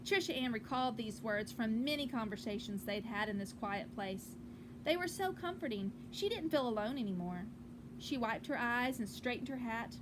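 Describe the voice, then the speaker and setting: Expressive woman reading a storybook aloud, noisy background. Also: fairly high pitch, normal speed. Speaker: teacher reading aloud to children. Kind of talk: expressive picture-book read-aloud with asides to listeners. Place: outdoors on a back porch. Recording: clearly noisy outdoor ambience.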